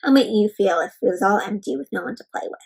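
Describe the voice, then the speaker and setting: A woman doing an interactive children's read-aloud, expressive and full of exclamations, often putting questions to young listeners. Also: mid-range voice, quick pace, creaky, slightly rough. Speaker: host reading a picture book aloud to children.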